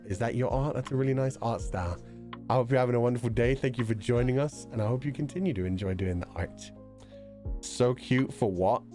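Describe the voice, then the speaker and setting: A man's voice: medium pitch, darkish tone. A man livestreaming and chatting casually with viewers while reading their donations, talking through a sore, unwell throat in an easygoing way, laughing often and swearing casually.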